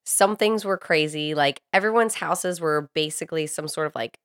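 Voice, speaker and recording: A woman's voice: deep voice, moderate pace, no echo, studio quality. An American woman telling personal stories in a casual, candid, informal way, with some swearing. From Saudi Arabia, raised by a US family on a compound. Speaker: podcast co-host. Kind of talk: casual chat, personal storytelling.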